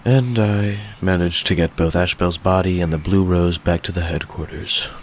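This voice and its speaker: A man's voice: sits low, steady tone, normal speed, a little hiss or hum. A man voicing a stoic, guarded knight with a secretly tender side, speaking formally and with reserve in a dramatic cartoon comic dub. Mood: somber, regretful.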